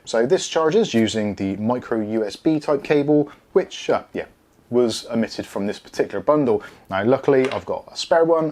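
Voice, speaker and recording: A man narrating a casual product review in a measured, explanatory, mildly understated way. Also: medium pitch, a little mellow.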